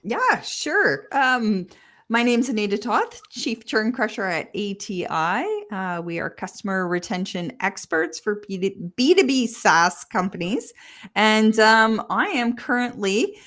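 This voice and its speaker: A woman from Canada speaking as a panel guest on a video call, thoughtful and measured, with fillers. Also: mid-range voice, sing-song, a bit bright. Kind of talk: panel discussion.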